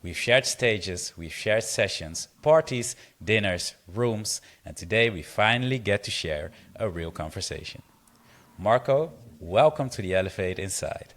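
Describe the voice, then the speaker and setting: A man's voice: fairly low pitch, lively intonation, measured pace, fairly bright tone. A man hosting a podcast, upbeat and friendly, reading a polished intro and asking questions. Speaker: podcast host.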